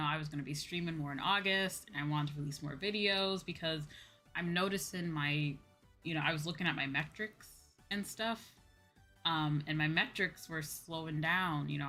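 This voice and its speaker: A young woman chatting casually to viewers on a livestream in an expressive, rambling, self-deprecating way. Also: low-pitched. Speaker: VTuber streamer. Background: faint background music.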